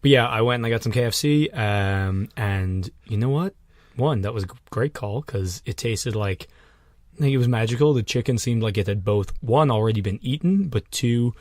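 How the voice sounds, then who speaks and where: A man's voice: fairly low pitch, a little mellow, dry recording, clean studio sound. A man co-hosting a casual podcast from a small room at home, tired and telling jokey, rambling stories. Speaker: podcast co-host. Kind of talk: casual banter.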